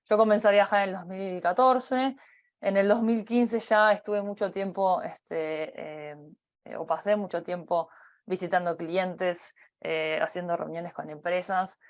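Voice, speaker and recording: A woman from Uruguay with a Uruguayan Spanish accent talks through her working life in a conference talk. Her voice sits a little low, and she often stops on fillers and restarts her sentences. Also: fairly even intonation, unhurried. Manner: hesitant, many fillers and restarts. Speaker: company partner giving a talk. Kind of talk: personal career talk.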